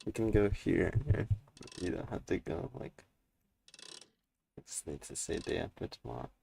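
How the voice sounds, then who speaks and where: A man's voice: low-pitched, a little slow, creaky, mellow, slight background noise. A man co-hosting a gaming video with casual let's play chat.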